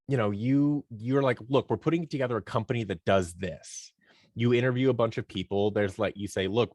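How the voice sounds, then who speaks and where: A man's voice: medium pitch, dry recording, clean studio sound. A man speaking conversationally as an interview guest, explaining things by acting out imagined bits of dialogue. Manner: animated storytelling, acts out imagined dialogue. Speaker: guest, creative company owner and director. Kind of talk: conversational podcast interview answers.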